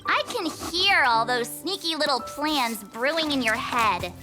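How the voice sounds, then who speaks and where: Perky high-pitched teenage girl. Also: a little slow. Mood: panicked, comic. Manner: bubbly, expressive. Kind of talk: scripted anime-style game dialogue. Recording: loud music bed.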